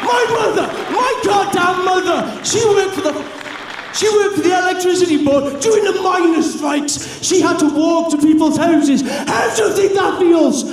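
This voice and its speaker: Fiery Welsh wrestler shouting in an arena. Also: male voice, moderate pace. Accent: South Welsh. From South Wales. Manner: fiery, builds to furious shouting. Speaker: pro wrestler. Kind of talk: wrestling promo to a live crowd. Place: large arena with a live crowd. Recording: booming echo from a big hall, crowd noise.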